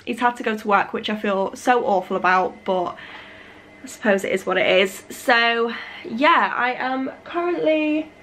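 A young woman in her twenties, slightly husky as she gets over a cold, chatting to camera in her living room with lots of fillers. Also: on the high side, a little slow, a bit bright, a little hiss or hum. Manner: rambling, many fillers and restarts. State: recovering from a cold. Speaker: vlogger. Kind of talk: casual chat to camera. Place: living room at home, indoors. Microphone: camera on a tripod.

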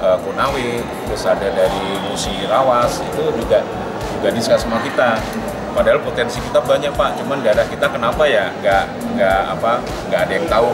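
A man's voice: lowish voice, distant mic. Hesitant man at a noisy expo. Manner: hesitant, many fillers and restarts. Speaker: film company representative. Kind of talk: expo floor interview. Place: busy indoor expo hall, at a booth. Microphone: handheld reporter mics held close.

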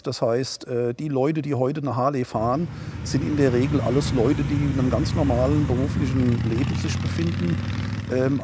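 A man in his sixties, co-owner of a dealership, talking calmly in an interview with many hesitations. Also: mid-range voice, slightly rough. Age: 60s. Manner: relaxed, hesitant with many fillers. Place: showroom, seated on a sofa indoors.

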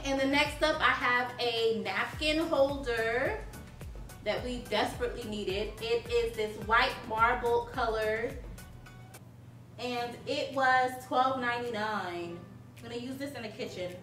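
A woman's voice: on the high side, unhurried, a bit bright, faint music behind. Bubbly woman vlogging from her couch. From Haiti. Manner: chatty, bubbly, talks to viewers with many "like"s. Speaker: home decor vlogger. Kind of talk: casual haul video. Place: living room, seated on a sofa. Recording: roomy sound from a distant mic.

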